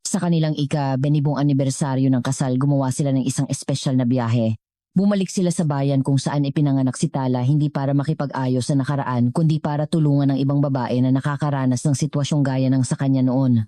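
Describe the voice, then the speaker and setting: A woman's voice: a bass voice, fairly even intonation, fast talker, dark tone, dry recording, studio quality. A woman reading a scripted story as a narrator and storyteller.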